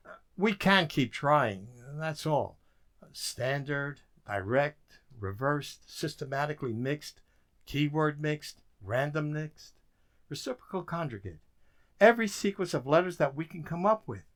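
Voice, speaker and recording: An actor playing a weary, dry-witted government codebreaker in an emphatic radio drama style. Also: male voice, on the high side, lively intonation, unhurried. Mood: weary, frustrated.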